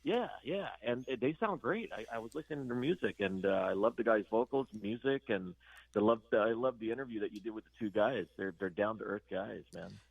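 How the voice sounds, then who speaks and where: A man with a low, gravelly voice answers interview questions, stopping and restarting often with many fillers. The sound is dry and a little dull and lo-fi, like a remote guest line. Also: no room sound. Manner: halting, full of fillers and restarts. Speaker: band guitarist guest. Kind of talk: casual interview chat.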